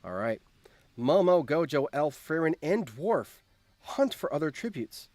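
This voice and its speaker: Animated man streaming casual live commentary. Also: on the high side, unhurried, no echo. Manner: animated, exclamatory, repeats phrases. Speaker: streamer hosting a reaction game. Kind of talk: casual live-stream commentary, reading game events aloud.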